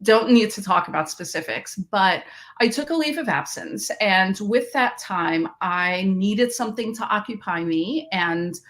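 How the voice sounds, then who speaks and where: A woman's voice: mid-range voice, expressive, measured pace, a bit bright. A woman from Queens, a math education researcher who is a guest on a podcast and tells her story in a reflective way, often restarting her sentences. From Queens, New York. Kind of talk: interview conversation.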